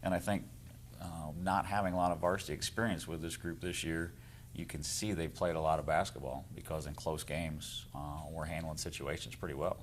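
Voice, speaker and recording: Very deep, even-toned American male coach. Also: fairly quick, a little hiss or hum. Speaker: boys basketball coach and teacher. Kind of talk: casual interview answers.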